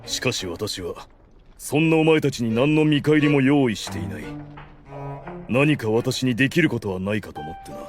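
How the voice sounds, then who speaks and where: A male actor playing a solemn, benevolent undead ruler in an anime audio drama, speaking in grand, formal, measured phrases. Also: medium pitch, animated, music in the background, lightly acted. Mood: earnest, magnanimous.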